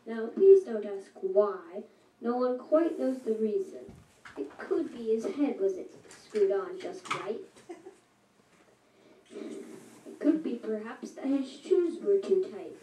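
A woman reading a rhyming story aloud at a school shadow puppet show, slow and rhythmic. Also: fairly high pitch, expressive, warm tone. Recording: echoey room from far off.

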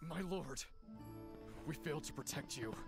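A man's voice: on the high side, moderate pace, natural voice. An actor playing an anxious male retainer in an anime game, formal, apologetic and hesitant before his lord. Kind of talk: anime game character dialogue. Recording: loud music under the voice.

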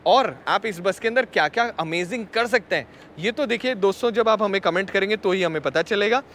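Chatty high-voiced man at an outdoor expo. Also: fairly quick, a bit bright, slight background noise. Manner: chatty, addresses viewers as friends. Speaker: vehicle reviewer. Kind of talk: walkaround presentation.

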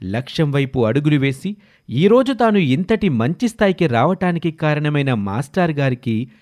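A man's voice: a little high, sing-song, normal speed, darkish tone. A man reading scripted story narration as the narrator. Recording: music under the voice. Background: background music.

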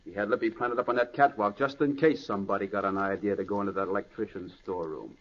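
An actor playing a gruff, slangy crook henchman in a 1940s radio drama, with a husky voice. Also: fairly low pitch, fairly even intonation, fast talker. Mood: scheming. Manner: slangy tough-guy talk. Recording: muffled 1940s radio recording.

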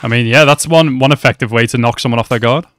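A man's voice: medium pitch, fast talker, fairly bright tone, slight background noise. A young man casually reacting to an anime episode, chatty and swearing a lot, calling the characters bro. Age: young adult. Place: small room at home. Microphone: headset mic.

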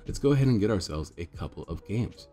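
A man's voice: on the low side, lively intonation, quick pace, dark tone, soft background music. A young man with a stuffed-up nose casually explaining his card deck to viewers, rambling and talking to them as guys. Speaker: card game streamer. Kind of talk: casual deck tech commentary.

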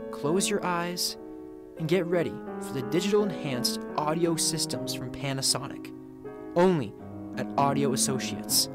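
A young man performing commercial voiceovers in a studio, with a hushed, intimate and measured delivery. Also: on the high side. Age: young adult. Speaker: voice actor. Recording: music bed behind. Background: music beds.

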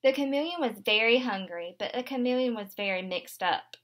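High, animated young woman reading aloud. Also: a little fast. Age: 20s. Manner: expressive, simple explanations for young children. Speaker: kindergarten teacher. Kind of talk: animated read-aloud of a children's picture book. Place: small furnished room indoors.

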